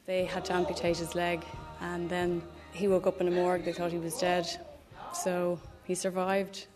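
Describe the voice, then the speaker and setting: A woman narrating informally, as if for a documentary. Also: on the low side. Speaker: narrator, playwright. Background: music.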